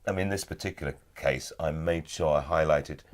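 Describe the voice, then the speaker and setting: A British man with a low voice chats in a recording studio. He is relaxed and good-humoured, and sometimes trails off mid-sentence. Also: normal speed. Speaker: audiobook narrator guest. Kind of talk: casual chat.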